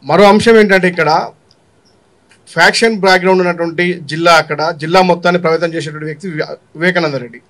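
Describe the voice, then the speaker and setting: A man's voice: a little high, steady tone, rapid-fire, fairly bright tone. A man working as a reporter at a press conference, persistently challenging and repeating his questions.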